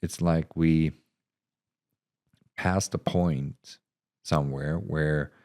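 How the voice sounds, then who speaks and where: A man narrating and reflecting on his own writing in an unhurried, thoughtful way. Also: rumbling low, fairly even intonation, a little mellow. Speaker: author reading own short story. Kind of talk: scripted narration and casual reflective talk.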